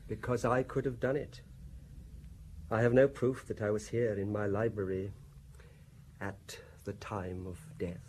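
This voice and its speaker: An actor playing a timid, grieving lawyer husband in a radio drama, with a hesitant, formal way of speaking. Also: male voice, lowish voice, level tone, measured pace, pauses often, darkish tone, slight background noise, close to an everyday voice. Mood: subdued, resigned.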